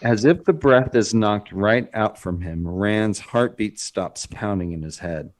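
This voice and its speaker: Casting: male narrator, fairly low voice, reading scripted scene directions for a drama table read. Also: a little slow.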